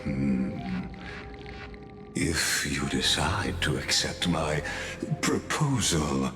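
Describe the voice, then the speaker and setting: Game villain-type: calculating cosmic wanderer, bottomless low pitch, extremely unhurried, grandiloquent, echoing space. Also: male voice, lively intonation, full character voice. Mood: cryptic, composed. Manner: formal, measured, grandiloquent, deliberate pauses. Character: enigmatic, calculating male cosmic wanderer offering sinister bargains. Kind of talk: scripted video game character dialogue. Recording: echoey, with music underneath. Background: background music.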